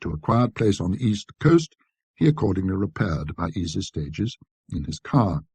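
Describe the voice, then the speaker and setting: A man narrating a classic ghost story in a precise, scholarly, measured manner, with a husky, raspy voice. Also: low-pitched, very animated, dark tone, dry recording. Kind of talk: dramatic scripted storytelling.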